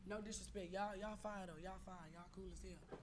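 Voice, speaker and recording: A woman's voice: medium pitch, steady tone, a little fast, noisy background. Combative young woman on a dating show. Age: early 20s. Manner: combative, profane, sassy. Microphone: handheld mic.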